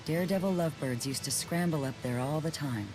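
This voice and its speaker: A woman plays an age-old ice spirit from a game, proud and detached. Her low female voice is cool and formal, with curt phrasing. Music runs behind the dialogue. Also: brisk, close to an everyday voice, low-quality recording. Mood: nostalgic. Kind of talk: video game cutscene voice acting. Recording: music under the voice.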